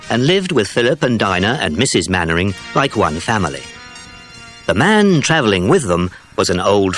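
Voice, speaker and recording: A man narrating a children's adventure storybook as a scripted storyteller. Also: lowish voice.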